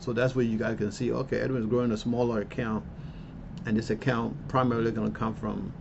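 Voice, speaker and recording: A man's voice: fairly low pitch, vocal fry, muffled recording, background noise. A man in his fifties hosting an investing channel, talking casually and rambling as he walks through his portfolio, with lots of ums and restarts. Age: 50s. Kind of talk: casual walkthrough of a portfolio tracker. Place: Colombia.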